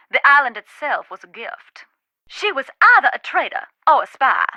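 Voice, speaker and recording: A woman's voice: a little high, bright, forceful tone, no echo, highs cut off. A woman playing a folksy Southern spirit medium in a video game, telling her stories emphatically in a Southern US accent. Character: haunted Southern woman, a warm, wary ghost-hunting spirit medium. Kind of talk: dramatic video game dialogue.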